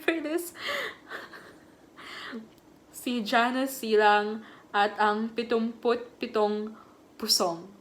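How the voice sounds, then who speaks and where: A woman's voice: a little high, fairly bright tone. A woman in her twenties from the Philippines, chatting casually and self-deprecatingly as a book vlogger at home. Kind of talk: casual chat.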